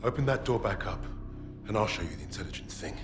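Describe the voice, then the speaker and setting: Male. Proud military squad leader, acted, deepish and authoritative, cinematic war game, heavy score underneath. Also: lively intonation, fast talker, natural voice. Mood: defiant. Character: proud, defiant soldier and squad leader held captive. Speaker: actor. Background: dramatic music.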